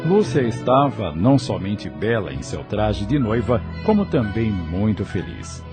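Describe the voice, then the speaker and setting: Expressive Brazilian male narrator, lowish voice. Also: unhurried, over music. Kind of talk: scripted novel narration.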